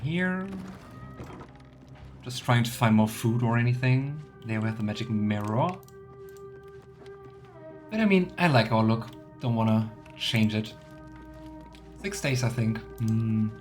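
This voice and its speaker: A man's voice: medium pitch, lively intonation, unhurried, pauses often. A man hosting a let's play, chatting casually and musing aloud as he goes. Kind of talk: casual game commentary. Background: game music.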